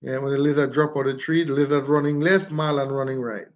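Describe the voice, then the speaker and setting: Man, stuffy nose, rambling livestream chatter, middle pitch, muffled phone audio. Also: fairly even intonation, fast. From Jamaica. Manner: rambling, chatty, laughs often while telling stories. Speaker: vlogger. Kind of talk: casual livestream chat.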